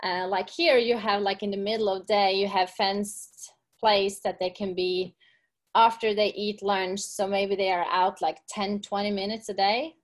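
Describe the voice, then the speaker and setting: A middle-aged woman from Norway with a Norwegian accent, a guest in a casual podcast interview, answering in her second language and often pausing with "um" as she looks for words. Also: mid-range voice, fairly even intonation. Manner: searching for English words with fillers. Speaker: podcast guest, gym owner and coach.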